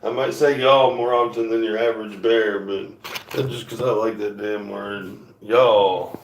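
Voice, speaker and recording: A man's voice: lowish voice, steady tone, unhurried, far from the mic, slight background noise. Rambling man in an echoey room. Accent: light Southern. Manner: loose, chatty, trails off and restarts, drawls his y'alls. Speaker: musician and painter streaming a show. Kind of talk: rambling casual chat to viewers. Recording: echoey room, voice a bit off-mic, guitar music.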